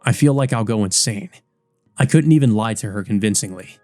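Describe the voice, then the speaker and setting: A man's voice: on the low side, fairly quick, dark tone, no echo, faint music behind. A man reading a visual novel aloud as dramatic scripted narration. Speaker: let's play narrator.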